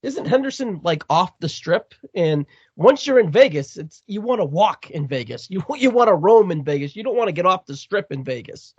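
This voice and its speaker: A man with a Boston accent co-hosting a casual wrestling podcast, animated and prone to repeating words. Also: sits high, normal speed, hardly any pauses, slightly rough, no room sound, dull audio. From Massachusetts, USA. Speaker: podcast co-host.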